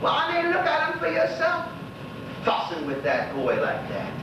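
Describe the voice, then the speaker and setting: Man acting a high-voiced, chatty old Bermudian widower. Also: wildly swooping pitch, moderate pace, exaggerated voice. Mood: excited gossip. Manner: rambling, exclaiming, talking to unseen listeners. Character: lonely old widower, chatty, gossipy, folksy. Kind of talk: stage monologue in dialect. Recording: very echoey stage, distant mic, noisy, dull.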